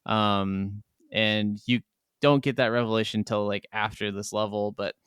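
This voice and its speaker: A man's voice: lowish voice, steady tone, unhurried, a bit bright, clear, resonant tone, dry recording, clean studio sound. A man co-hosting a podcast, chatting casually about video games in a rambling, enthusiastic way. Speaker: podcast co-host.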